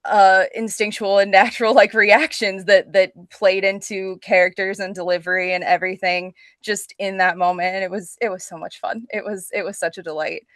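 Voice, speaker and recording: A woman's voice: mid-range voice, little pitch movement, normal speed, hardly any pauses, fairly bright tone, no echo. Enthusiastic woman chatting, dry close sound. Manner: enthusiastic, gushing. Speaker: actress, podcast guest. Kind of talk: casual podcast conversation. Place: remote video call.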